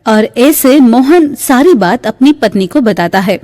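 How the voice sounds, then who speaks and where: Slow, bright woman storyteller with reverb. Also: a little high, expressive. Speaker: story narrator. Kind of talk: slow scripted moral-story narration for a cartoon. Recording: long reverb.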